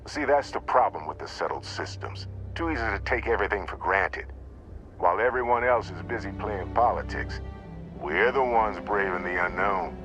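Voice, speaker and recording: A man's voice: on the low side, close to an everyday voice. An actor playing a genial, talkative male space explorer in a video game, with a jaunty, wry, easygoing manner. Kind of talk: video game cutscene acting.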